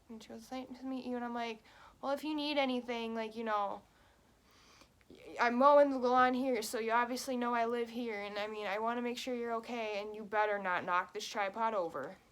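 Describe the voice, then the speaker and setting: A young woman in her twenties tells a story to her camera, talking quickly with plenty of "like" and "you know". Her voice sits a little high and it stays casual and chatty. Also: fairly bright tone. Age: 20s. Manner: chatty, quick, many fillers. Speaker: vlogger. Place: at home.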